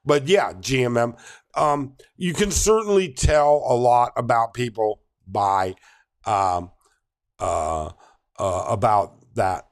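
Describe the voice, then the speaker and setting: Middle-aged man, unhurried stream host, hesitant and rambling, mid-pitched. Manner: halting, many ums and restarts, reads chat aloud. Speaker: livestream host. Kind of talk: casual live Q&A reading chat.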